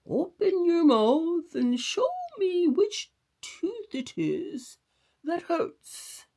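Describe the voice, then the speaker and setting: A woman voicing a male possum doctor in a storybook, brisk, questioning and emphatic. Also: extremely high pitch, big pitch swings, warm tone, pitched above the performer's own voice, heavily acted. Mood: instructing.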